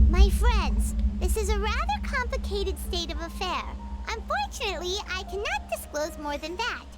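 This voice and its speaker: Squeaky, very high-pitched little fairy girl. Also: very animated, unhurried, loud music behind the voice, full character voice. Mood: mock-serious reading. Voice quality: squeaky, childlike. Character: small, chirpy, childlike floating fairy girl companion. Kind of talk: scripted video game voice acting.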